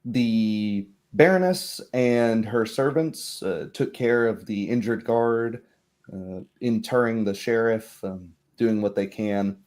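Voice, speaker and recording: Slow, halting male game master, slightly mellow. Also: fairly low pitch. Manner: halting, searching for words. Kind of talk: recapping and narrating a tabletop roleplay.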